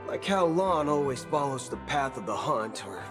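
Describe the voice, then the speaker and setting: A man's voice: medium pitch, animated, normal speed, over music, natural voice. A male actor voicing a brash, cynical cyborg cowboy gunslinger in a video game, with a swaggering drawl. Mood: firm, argumentative.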